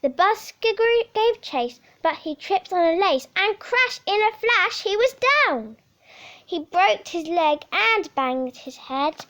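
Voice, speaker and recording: A woman reading a children's picture book aloud in a theatrical, measured way, with dramatic emphasis. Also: very high voice, animated, fairly bright tone. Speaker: story reader.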